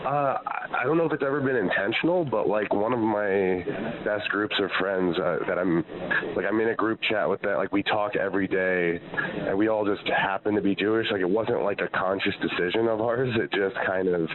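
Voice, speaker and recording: A man in his thirties with a nasal, slightly congested voice, talking in a laid-back, halting way in a casual interview. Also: fairly low pitch. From Connecticut and Colorado, USA. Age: 30s. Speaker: wrestler interviewee. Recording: tinny phone line with crowd noise.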